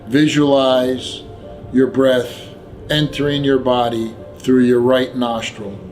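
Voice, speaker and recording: Male meditation guide, medium pitch, gentle and very slow scripted delivery with pauses, over background music. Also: little pitch movement, low-quality recording. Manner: gentle, unhurried instruction with long pauses.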